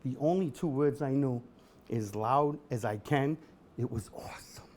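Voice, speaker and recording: A middle-aged man doing a stand-up comedy set at an event, with a deadpan delivery that bursts into shouted singing. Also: mid-range voice, moderate pace, slight background noise. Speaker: comedian host. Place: event hall with a live audience.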